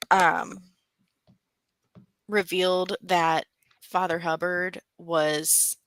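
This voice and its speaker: A woman's voice: medium pitch, bright, forceful tone, no echo. A woman co-hosting a book club livestream, chatting casually and reading out viewer comments, thinking aloud with fillers and restarts.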